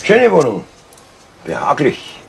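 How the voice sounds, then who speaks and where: An actor playing a dry, probing Bavarian police inspector in a 1970s radio crime play, questioning in a measured way. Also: male voice, medium pitch, huge pitch swings, gravelly, full character voice. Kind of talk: 1970s German radio crime drama acting. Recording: faintly noisy vintage radio play recording.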